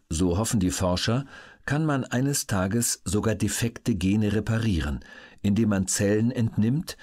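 A man reading scripted documentary narration for an audiobook in a measured, announcer-like way. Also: fairly low pitch, darkish tone, slightly rough.

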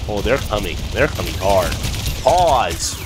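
A man's voice: lowish voice, big pitch swings, unhurried, background noise. A young man with a stuffy, sick-sounding voice chatting casually to viewers while he plays, recorded on a desk mic at home. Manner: chatty, talks to himself and viewers while playing. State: stuffy from a cold. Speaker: gaming streamer. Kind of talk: casual gameplay commentary. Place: home room. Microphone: desk mic with headset. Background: game music and sound effects.

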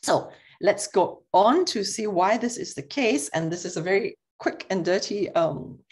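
A middle-aged woman with a Singapore English accent giving a brisk online linguistics lecture, often checking in with "okay?". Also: mid-range voice, lively intonation, a little mellow.

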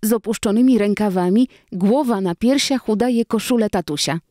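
A woman's voice: mid-range voice, warm tone, no echo, studio quality. A woman narrating a children's story expressively, acting out the dialogue.

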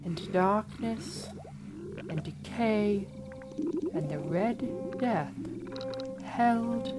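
Woman, dramatic story reader, solemn, unhurried, expressive pitch, noisy atmospheric background. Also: over music. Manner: solemn, theatrical, drawn-out. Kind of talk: dramatic literary reading over a soundscape.

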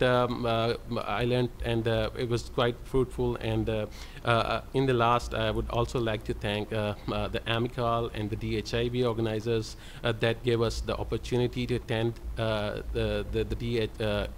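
A man's voice: mid-range voice, slight background noise. A man speaking on a conference panel as a librarian, hesitant with many fillers. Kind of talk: panel presentation. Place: conference hall panel table. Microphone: table microphone.

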